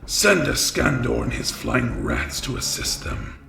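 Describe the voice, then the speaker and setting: A man playing an arrogant, imperious vampire lord in a fantasy audio drama, gravelly and menacing, speaking slowly with contempt. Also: medium pitch, wildly swooping pitch, heavily acted. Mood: cold, scheming. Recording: roomy reverb.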